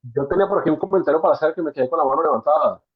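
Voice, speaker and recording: A man's voice: on the high side, rapid-fire, slightly rough, lo-fi sound. A man from Colombia with a Colombian accent, a new participant in an online class, commenting in a rambling way with many restarts and fillers.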